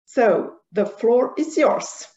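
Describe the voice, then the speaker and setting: A woman's voice: a little high, animated, slow, darkish tone. Formal woman moderator, lo-fi call audio. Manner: formal, reads an introduction, stumbles over a French name. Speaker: webinar moderator. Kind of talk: introducing speakers. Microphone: video call. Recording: dull lo-fi video call audio.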